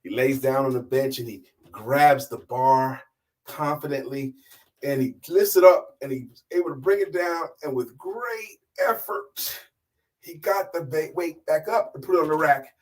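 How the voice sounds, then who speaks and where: A middle-aged man telling stories casually to an audience, with an animated, emphatic manner. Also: fairly high pitch, measured pace. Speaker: storyteller and sales coach.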